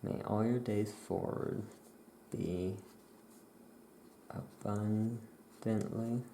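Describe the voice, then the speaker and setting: A soft-spoken ASMR performer playing a laid-back witch shopkeeper, murmuring in an unhurried way while writing. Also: mid-range voice, steady tone, gravelly. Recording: roomy echo, faint background noise.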